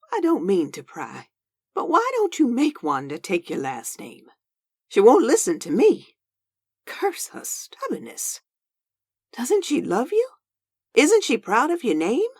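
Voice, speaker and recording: A woman voicing a feisty, nagging elderly grandmother in a comic dub, husky and pleading. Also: sits high, huge pitch swings, takes it slowly, long pauses, a little mellow, no echo, clean studio sound, exaggerated voice. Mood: pleading, exasperated. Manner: pleading, scolding. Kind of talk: comic dub character acting.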